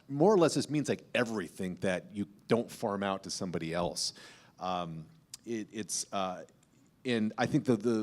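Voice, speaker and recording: A man's voice: lowish voice, darkish tone. A man speaking as a podcast host on a panel, explaining things in an informal, emphatic way. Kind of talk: panel discussion talk, explanatory.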